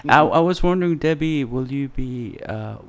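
A man co-hosting a podcast, who talks in halting starts, repeating words and laughing often. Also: medium pitch, lively intonation. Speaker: podcast co-host. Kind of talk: casual conversation.

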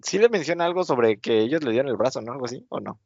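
A man's voice: medium pitch, lively intonation, quick pace, darkish tone, no room sound. Chatty man, muffled dry sound. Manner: rambling, many fillers, acts out quotes with laughs. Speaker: podcast co-host. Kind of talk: casual chat recapping a TV episode. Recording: dull lo-fi sound.

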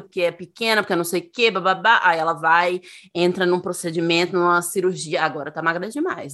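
A woman content creator in lively casual conversation, speaking with emphatic, animated delivery. Her pitch sits in the middle range. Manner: animated, emphatic, laughs often.

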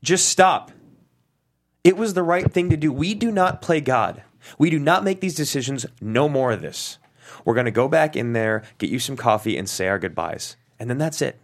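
A man's voice: mid-range voice, lively intonation, normal speed. A man playing a firm, sorrowful man in a dramatic table read, speaking earnestly. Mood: firm, insistent.

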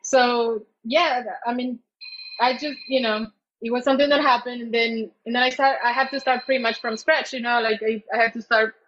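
High-voiced woman, halting. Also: muffled recording. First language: Spanish. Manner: halting, searching for words. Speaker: interview guest. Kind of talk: casual personal storytelling.

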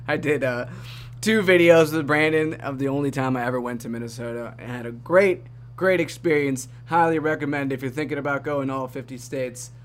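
A man hosting a podcast in a casual, upbeat way, chatty and quick to laugh. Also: mid-range voice. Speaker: podcast host. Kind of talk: casual chat.

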